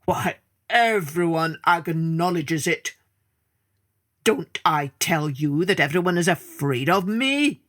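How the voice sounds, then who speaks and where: A woman's voice: lowish voice, animated, takes it slowly, fairly bright tone. A woman voicing a proud male lion, king of the forest, with scornful, haughty declarations in a storybook tale. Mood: furious.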